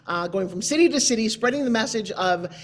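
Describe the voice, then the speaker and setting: A woman's voice: on the high side, animated, fairly quick. A middle-aged woman from New York, chatting informally and emphatically as a show host on a video call. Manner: emphatic, theatrical, conversational asides. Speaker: show host, motivational speaker. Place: indoor room, on a video call. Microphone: video call microphone.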